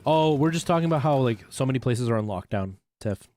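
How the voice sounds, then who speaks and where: A man's voice: mid-range voice, expressive, fast talker, a little mellow. A man, chatting casually as he streams a retro video game. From Canada. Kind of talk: casual chat while playing a retro game on a livestream. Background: faint game music.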